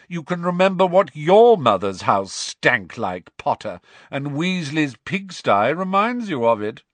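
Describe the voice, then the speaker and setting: A man's voice: on the low side, moderate pace, no room sound, natural voice. A man voicing a spiteful, smug teenage schoolboy bully in an audiobook, with a taunting drawl. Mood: sneering, goading. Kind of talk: audiobook character voice.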